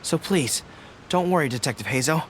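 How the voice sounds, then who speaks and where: A man's voice: on the high side, animated, moderate pace, a little hiss or hum, close to an everyday voice. Man voicing an earnest young general. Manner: polite, apologetic, earnest. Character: earnest young male general, loyal and polite. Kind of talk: game voice acting.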